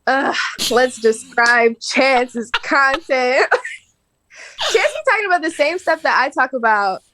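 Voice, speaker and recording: A woman's voice: high voice, slow, rough recording. A woman in her twenties, a podcast guest, chatting casually and laughing often. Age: 20s. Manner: relaxed, laughing, chatty with lots of fillers. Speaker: guest, social media creator. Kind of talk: casual chat.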